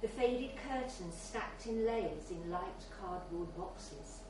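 A woman's voice: on the low side, lively intonation, slow. Woman reading a story, very echoey room. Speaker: reader at a live short story event. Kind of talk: scripted short story reading, literary narration. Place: bar, live reading event. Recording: very echoey, distant mic, faint noise.

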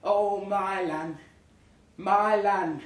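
A man's voice: high-pitched, fairly even intonation, extremely slow, pitched above the performer's own voice. A man playing a lovesick, self-important British office worker reading out his diary with earnest theatricality on stage. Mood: besotted. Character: lovesick, pompous, self-deluded male office worker diarist. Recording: roomy live pub recording, distant, faint noise.

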